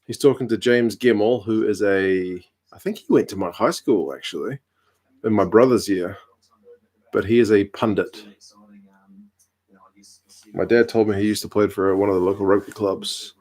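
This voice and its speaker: Relaxed New man in his thirties. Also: on the low side, normal speed, long pauses, low-quality recording. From New Zealand. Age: 30s. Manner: relaxed, chatty, dry humour. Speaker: live stream host. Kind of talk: casual chat reading viewer comments.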